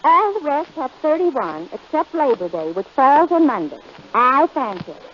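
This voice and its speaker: A woman's voice: high-pitched, normal speed. A woman trading pert, teasing lines as a regular on a 1930s radio comedy. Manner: pert, teasing deadpan delivery. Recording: muffled vintage radio broadcast with hiss.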